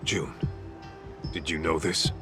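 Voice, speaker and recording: Gruff older-ish detective from a game, really low voice, blunt, music under it. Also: male voice, slow pace. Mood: serious. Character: gruff middle-aged male detective with a wounded heart. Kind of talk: video game cutscene dubbing. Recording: studio voice with music behind.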